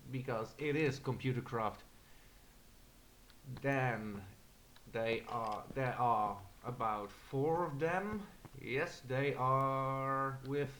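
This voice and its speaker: Slow-talking Dutch man touring a game. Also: mid-range voice, a bit bright, a little hiss or hum. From Netherlands. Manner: hesitant, many fillers and restarts. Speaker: gamer narrating a game tour. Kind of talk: casual screen-recorded walkthrough.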